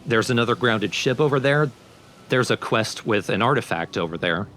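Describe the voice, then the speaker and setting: A man's voice: medium pitch, animated, normal speed, a bit bright, slight background noise. A man giving casual, explanatory commentary over a video game, talking informally and step by step. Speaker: let's play commentator. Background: game music under the voice.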